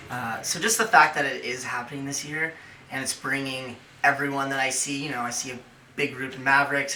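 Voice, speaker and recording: A man's voice: medium pitch, sharp tone, echoey room, recorded from a distance, a little hiss or hum, rough recording. A male surfer from Los Angeles giving an upbeat, enthusiastic contest interview. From Los Angeles, California.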